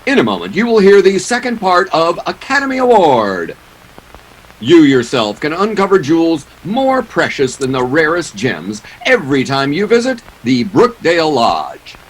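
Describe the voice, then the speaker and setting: A man's voice: a little high, wildly swooping pitch, normal speed, low-quality recording. A man playing a showy 1940s radio announcer, reading scripted introductions and advertising like a polished salesman. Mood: upbeat, selling.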